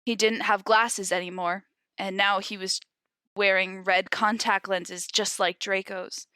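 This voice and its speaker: A woman's voice: mid-range voice, little pitch movement, measured pace, sharp tone, clear, resonant tone, dry recording, studio quality. A woman co-hosting a podcast, giggly and exclamatory as she chats and reads fanfiction aloud. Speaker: podcast co-host. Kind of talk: casual chat and giggly fanfiction read-aloud.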